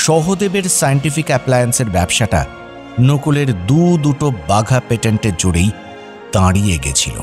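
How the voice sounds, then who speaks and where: A man narrating a scripted radio story in a wry, expressive way. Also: medium pitch.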